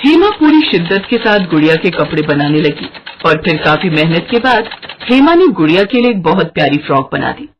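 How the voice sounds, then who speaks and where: A woman's voice: fairly low pitch, very animated, a little fast, dull audio, slight background noise. A woman narrating a scripted moral story for a cartoon.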